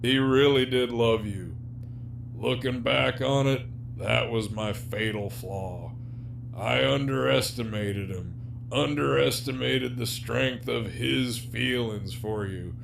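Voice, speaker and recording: A man's voice: mid-range voice, slow, vocal fry. A man acting in an audio drama as a jaded, sardonic, despairing prisoner villain with a profane, wry, teasing drawl. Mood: sincere, regretful. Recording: faint background hum and noise.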